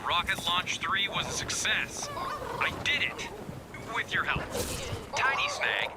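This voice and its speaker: Wordy, animated, anxious game scientist. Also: male voice, high voice, very noisy, exaggerated voice. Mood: awkward, upbeat. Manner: halting, wordy, wry, formal vocabulary. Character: anxious, wordy male scientist leading a resistance group. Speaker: game character. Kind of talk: video game voice acting.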